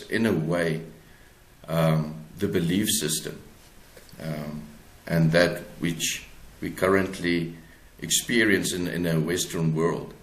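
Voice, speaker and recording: A South African man speaking as an interviewed author, explaining his work haltingly and searching for words. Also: low-pitched. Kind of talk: conversational interview, explaining own book. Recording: roomy, echoey sound.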